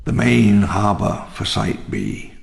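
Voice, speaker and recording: An actor playing a wistful elderly park founder, narrating his memoirs in a measured, theatrical, ruminative way for a game audio log. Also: on the low side, big pitch swings, exaggerated voice. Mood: wistful, reflective. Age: older. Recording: long reverb under clear music.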